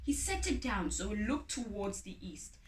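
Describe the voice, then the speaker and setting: A woman reading a storybook expressively, with deliberate, dramatic phrasing, as a storyteller. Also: medium pitch, sing-song, a little hiss or hum. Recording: echoey room, distant from mic.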